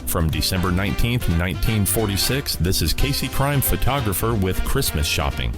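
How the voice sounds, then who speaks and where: A man's voice: sits low, expressive, moderate pace, over music. A man hosting a radio program with scripted introductions. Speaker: radio show host.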